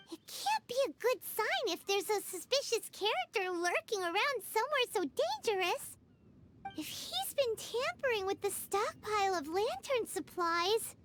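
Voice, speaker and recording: A woman voicing a small floating fairy girl companion in a video game, excitable and chattering. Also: extremely high pitch, a little hiss or hum, heavily acted. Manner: excitable, chattering, talks about herself by name.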